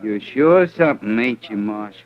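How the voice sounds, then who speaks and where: An actor playing a cocky, taunting cowboy troublemaker in a 1950s radio western, drawling with a rustic American Western accent. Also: male voice, fairly low pitch, expressive, moderate pace, slight background noise, natural voice. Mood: brash. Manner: drawling, swaggering, mocking. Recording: muffled old-time radio recording.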